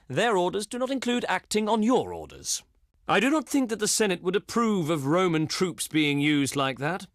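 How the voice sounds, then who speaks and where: An actor playing a haughty, contemptuous ancient Roman diplomat in a strategy game, pompous and sneering, with formal oratorical delivery. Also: male voice, sits high, fairly bright tone, no room sound, studio quality. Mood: cool, dismissive. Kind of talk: theatrical scripted game voice acting.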